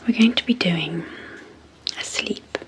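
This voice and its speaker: A woman speaking softly and breathily in a gentle, measured ASMR sleep hypnosis style, leaving long pauses between short phrases. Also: fairly low pitch, noisy background. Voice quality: soft, breathy, near-whispered. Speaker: psychologist hosting an ASMR channel. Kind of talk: soft-spoken ASMR sleep hypnosis introduction.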